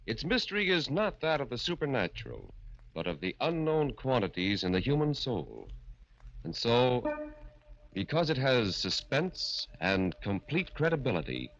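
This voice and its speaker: An American man narrating and announcing a 1940s radio horror show in a solemn, dramatic, measured manner. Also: medium pitch, wildly swooping pitch, fairly bright tone. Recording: dull vintage radio recording.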